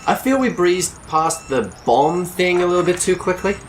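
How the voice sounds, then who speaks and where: Man playing an animated, wisecracking space pilot. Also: sits high, moderate pace, a little hiss or hum. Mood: alarmed. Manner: expressive, wisecracking. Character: wary, wisecracking space pilot, bossy but jittery. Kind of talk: scripted audio drama acting.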